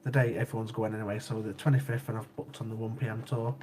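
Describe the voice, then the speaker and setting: A man's voice: fairly low pitch, little pitch movement, quick pace, warm tone, a little hiss or hum. A man, hosting a casual livestream and chatting with viewers while sniffly from a cold. He repeats himself often and laughs easily. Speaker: livestream host. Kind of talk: casual chat with live chat viewers.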